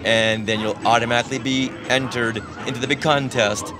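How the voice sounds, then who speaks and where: A man's voice: mid-range voice, fairly even intonation, a little fast. A man hosting a podcast in a casual, chatty co-host style. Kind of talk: casual co-hosted podcast chat.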